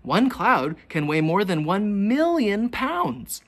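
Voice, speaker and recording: A teacher reading a children's picture book aloud, with expressive, deliberate questions pitched to young children. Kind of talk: children's storybook read-aloud.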